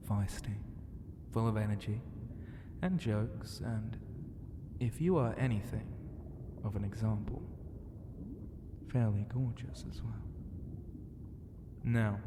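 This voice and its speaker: A flirty ancient sea god, played by a man in an intimate ASMR roleplay, speaking very slowly in a low, breathy half-whisper. He is teasing, self-assured and grandiose, his words washed in long reverb. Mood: flirtatious, tender, then gentle, inviting. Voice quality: breathy, often dropping into whisper. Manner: slow, teasing and grandiose, with hesitant hums and murmurs. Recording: long reverb, clear background ambient noise.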